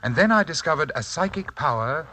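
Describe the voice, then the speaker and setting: A man's voice: fairly high pitch, lively intonation, fairly quick, sharp tone, low-quality recording. A man acting in a 1940s radio drama as a pompous, condescending and sinister self-styled psychic scientist, speaking in elaborately formal phrases. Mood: haughty, menacing.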